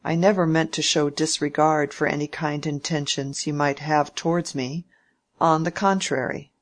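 A proud young gentleman who stays formal and courteous, as a storybook reader voices him. His speech is measured and well-mannered, with a sulky edge. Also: mid-range voice, fairly even intonation, natural voice. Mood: polite defensive. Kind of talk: audiobook character reading.

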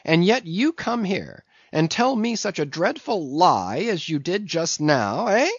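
A man voicing a brash, bossy whaling ship's mate in a storybook, teasing and interrogating loudly. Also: sits high, sing-song, unhurried, slightly rough, no room sound, lo-fi sound, voice pushed up, exaggerated voice. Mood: mock indignant. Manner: loud, teasing, interrogating, swooping exclamations.

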